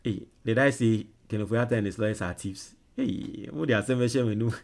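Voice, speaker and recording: A man from Ghana with a Ghanaian English accent who hosts a political show on social media. He reads viewer comments forcefully, then dictates an email slowly while he types. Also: on the low side, vocal fry. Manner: loud and emphatic when reading comments, quiet and halting while typing.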